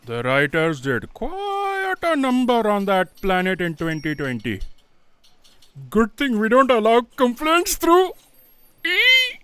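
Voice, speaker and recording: Dutiful female aide, higher pitched, deferential to the boss, dry close audio drama sound. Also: very animated, slow pace, fairly bright tone, clear, resonant tone, dry recording, exaggerated voice. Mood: chatty.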